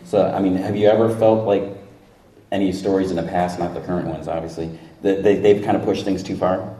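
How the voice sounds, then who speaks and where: A man's voice: low voice, steady tone, a little fast, mellow, recorded from a distance. A man moderating a live convention panel, asking long, winding questions. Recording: echoey room mic from afar.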